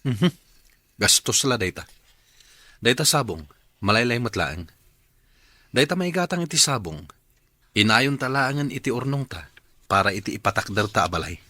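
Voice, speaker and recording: A thrifty, patient but exasperated boyfriend in a radio drama. His Ilocano-accented voice is bright and forward, with lively swings in pitch. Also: moderate pace, pauses often, dry recording. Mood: teasing, reasoning. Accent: Filipino, Ilocano.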